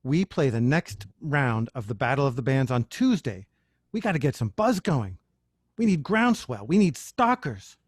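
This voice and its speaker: A man's voice: mid-range voice, very animated, moderate pace, no room sound, studio quality. A man playing an anxious, blunt young indie band frontman in a screenplay table read, speaking with urgent emphasis.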